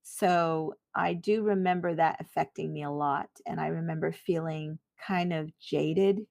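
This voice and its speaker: A middle-aged woman with a notably low voice speaks as an interview guest. Her delivery is earnest and reflective, a steady recounting of memories. The recording is close and dry. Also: unhurried, creaky, no room sound. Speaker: podcast guest. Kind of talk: personal interview recollection.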